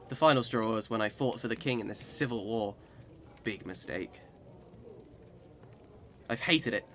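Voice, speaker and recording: A man's voice: mid-range voice, a bit bright, slight background noise, lightly acted. A man acting in a radio drama as a boastful immortal Englishman who swings between cheer and despair, with theatrical old-time speech. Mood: bitter, despairing.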